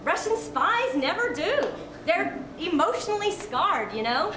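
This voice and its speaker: A woman reading a literary piece aloud in an expressive recital style. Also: extremely high pitch, unhurried. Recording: echoey, distant mic, clear background noise.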